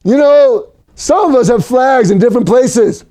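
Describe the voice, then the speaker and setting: A man from Mexico preaching a sermon for an online service, animated and rising to shouts at his peaks. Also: very high voice, moderate pace, noisy background. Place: home recording for a virtual service.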